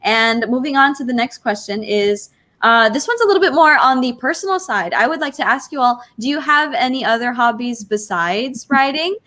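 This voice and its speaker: A woman's voice: high-pitched, expressive, normal speed, pushed and bright. A woman hosting an author panel, talking in an informal, wordy way and often saying "like". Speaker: panel host. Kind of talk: casual interview hosting.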